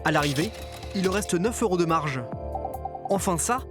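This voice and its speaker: High-pitched male documentary narrator over music. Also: lively intonation, normal speed. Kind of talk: scripted reportage narration.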